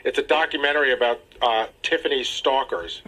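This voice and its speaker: A man on a radio show's staff, chatting casually with a husky voice. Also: mid-range voice, a little fast, pushed and bright, rough recording. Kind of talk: casual chat.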